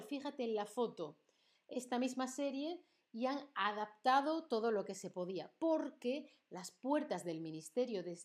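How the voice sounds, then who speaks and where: A woman's voice: a little high, a little mellow. A young woman from southern Spain who uses a neutral standard accent and teaches Spanish on a live stream, talking to viewers and reading out their chat messages. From Andalusia, Spain. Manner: chatty, asks viewers questions and reads out chat. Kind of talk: live-stream language lesson.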